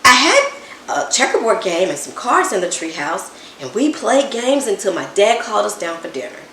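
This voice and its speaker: A woman reading a children's story aloud with expressive, animated first-person storytelling. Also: mid-range voice, moderate pace. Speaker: storybook reader. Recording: roomy echo, faint background hiss.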